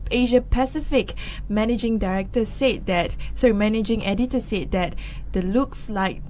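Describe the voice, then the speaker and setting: A woman co-hosting a casual news discussion show, chatty and quick to laugh between items. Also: on the high side, darkish tone, highs cut off, slight background noise.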